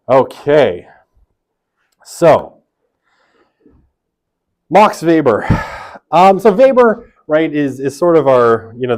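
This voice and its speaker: A man teaching a university sociology lecture in a classroom through a room microphone, casual and chatty, with a big voice, lots of fillers and tag questions. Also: medium pitch. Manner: self-described big, loud voice. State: half asleep from early rising. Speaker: sociology instructor.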